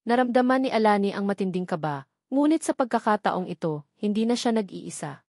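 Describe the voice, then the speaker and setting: A woman's voice: mid-range voice, animated, brisk, darkish tone, no echo, clean studio sound. A woman reading a scripted, dramatic love story as its narrator.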